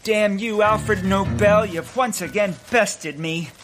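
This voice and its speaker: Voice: mid-range voice, takes it slowly, close to an everyday voice. An actor playing a hapless, melodramatic man on the run in a comic audio drama. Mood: urgent, theatrical. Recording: music under the dialogue.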